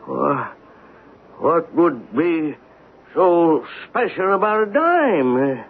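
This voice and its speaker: Radio drama role: cornered beggar, high voice that swoops, stammering, on a tinny noisy vintage recording. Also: male voice, very animated, extremely slow, full character voice. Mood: nervous, defensive. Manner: stammering, pleading, rough street talk. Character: frightened, cornered old male street beggar. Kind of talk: 1970s radio drama acting.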